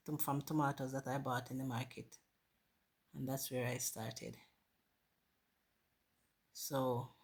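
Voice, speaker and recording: Hoarse, slow-talking Jamaican woman. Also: sits low. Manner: warm, grateful, addresses viewers as guys. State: hoarse from a flu. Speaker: gardening channel host. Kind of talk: casual chat to viewers while planting. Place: home garden.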